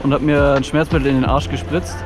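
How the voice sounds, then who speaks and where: A man's voice: medium pitch, little pitch movement, fast talker, music in the background. A young man, a kayaker, giving a casual personal account. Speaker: kayaker on an expedition.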